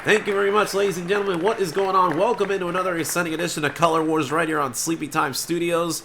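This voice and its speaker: An upbeat, chatty male game-show host whose voice sounds congested from allergies. He talks in a fairly high register and clears his throat now and then. A faint noise hums underneath. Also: steady tone, quick pace, pushed and bright, some chatter behind. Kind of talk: homemade game-show hosting.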